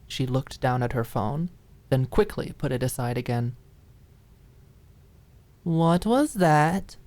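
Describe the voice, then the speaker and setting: A woman's voice: a bass voice, fairly even intonation, normal speed, warm tone, dry recording. A woman reading scripted dramatic narration as an audiobook narrator.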